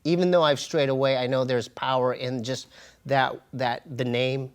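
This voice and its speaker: An American man telling a personal story as an interview guest, in a casual, slangy and halting but emphatic way. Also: medium pitch, steady tone, clear, resonant tone. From United States. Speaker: interview guest, comedian and hip-hop artist.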